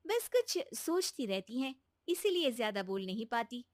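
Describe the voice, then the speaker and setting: A woman's voice: fairly high pitch, sing-song, normal speed, dry recording, studio quality. A woman voicing an earnest, encouraging young daughter-in-law in a Hindi cartoon story, with a coaxing way of talking. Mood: surprised, eager.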